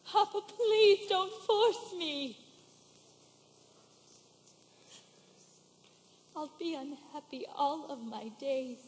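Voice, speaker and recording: A woman's voice: very high-pitched, animated, moderate pace, sharp tone, full character voice. A woman playing a desperate, pleading young village daughter in a stage musical, with emotional delivery. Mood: pleading, distraught. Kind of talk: stage musical acting, projected. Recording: echoey hall stage recording from afar.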